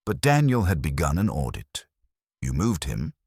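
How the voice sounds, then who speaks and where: Guy doing a stern genius detective for an audiobook, super deep gravel-rough voice, formal, cuts right to it. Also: wildly swooping pitch, moderate pace, a little mellow, dry recording, clean studio sound, full character voice. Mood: accusing, controlled. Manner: formal, accusatory, incisive. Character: commanding, coldly logical male detective confronting suspects. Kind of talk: audiobook detective story dialogue.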